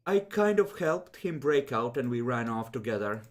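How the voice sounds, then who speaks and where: A man's voice: mid-range voice, big pitch swings, normal speed. A man talking casually and wryly as a player building his character for a tabletop role-playing game, swearing now and then.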